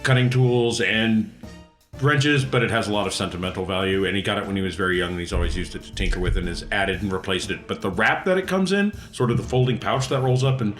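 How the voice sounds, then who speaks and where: Man, fairly low pitch, echoey room, casual tabletop player chat. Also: quick pace, fairly bright tone, soft background music.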